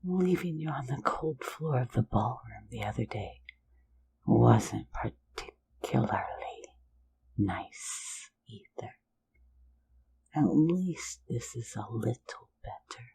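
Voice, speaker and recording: A woman's voice: a bass voice, expressive, takes it slowly, creaky, dark tone, husky, heavily acted, poor audio. A woman whispering as a sly teasing phantom thief. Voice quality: mostly whispered. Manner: teasing, soothing, lulling listener to sleep. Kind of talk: ASMR roleplay.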